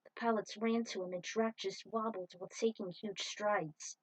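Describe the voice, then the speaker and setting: A woman narrates a fan-made racing story in a steady, mid-pitched voice. Her reading is even and unhurried. After the story, she chats casually about it. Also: rough recording. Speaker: fan fiction reader.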